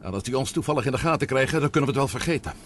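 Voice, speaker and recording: A man plays an uneasy submarine companion in an old radio serial, wondering and questioning. His pitch moves in wide, lively swings, and the recording is clearly noisy with a rumble behind him. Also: very fast, natural voice. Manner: anxious, wondering. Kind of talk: Dutch radio drama acting.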